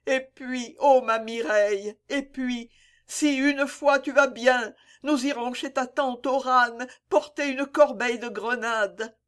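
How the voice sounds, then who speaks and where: A man reading the part of a dying young girl in a slow, lyrical, solemn way. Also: extremely high pitch, fairly even intonation, a bit bright, clear, resonant tone, pitched above the performer's own voice, full character voice. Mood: tender. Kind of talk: dramatic poem reading.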